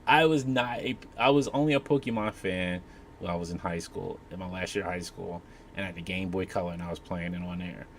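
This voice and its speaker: A man's voice: sits low, brisk. A man giving his opinion casually as a panelist in a discussion. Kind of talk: casual chat. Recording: faint ambient background noise.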